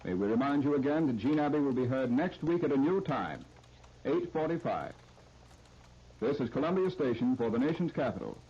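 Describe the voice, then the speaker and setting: A man's voice: medium pitch, big pitch swings, a little fast, slightly rough. An American man working as a station announcer, reading scripted continuity in a 1930s radio style. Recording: dull, lo-fi 1939 radio disc recording.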